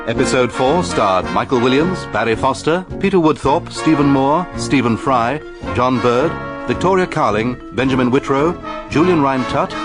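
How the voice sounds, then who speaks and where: A British man reading scripted credits as a radio drama announcer. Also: medium pitch. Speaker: continuity announcer. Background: music.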